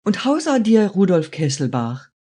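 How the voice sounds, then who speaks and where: Man putting on an excitable, high-pitched old German man. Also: moderate pace, husky, heavily acted. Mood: delighted. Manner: stammering, spluttering, agitated. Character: anxious, excitable old German traveller, poor and shy. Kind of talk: audiobook character voice.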